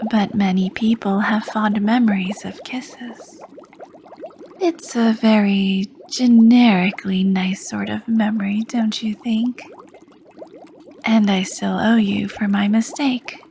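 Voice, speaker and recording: A woman voicing a charming, sly female potion master as a shopkeeper in an ASMR roleplay, speaking softly and flirtatiously and often dropping into whispers. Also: medium pitch, slight background noise. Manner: soft, flirty, drifts into whispers.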